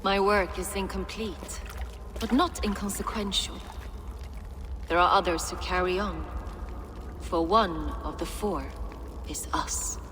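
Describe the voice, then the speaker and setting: A woman playing a dying, zealous abbess in a video game, solemn and severe, with grand measured oratory. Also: fairly low pitch, fairly even intonation, fairly bright tone. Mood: dying defiance. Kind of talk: video game dramatic acting. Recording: long cavernous reverb, clear background noise.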